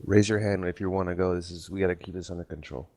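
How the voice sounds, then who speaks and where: A man's voice: low voice, steady tone, fast talker, a little mellow. A man in a casual voice chat, blunt and joking. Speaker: guest gamer.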